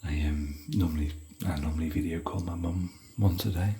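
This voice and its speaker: A man whispering softly in a rambling, chatty, gentle way while hosting an ASMR hotel room tour. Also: deep voice, fairly even intonation, a little fast, breathy edge. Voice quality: soft whisper.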